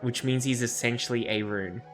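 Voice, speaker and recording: A man's voice: mid-range voice, steady tone, moderate pace, over music. A man streaming a game, chatting casually in a sweary, argumentative, teasing way. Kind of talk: casual banter while livestreaming a game.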